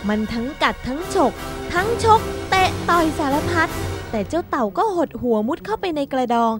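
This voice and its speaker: High-voiced woman storyteller over music. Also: lively intonation, normal speed. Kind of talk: scripted children's story narration.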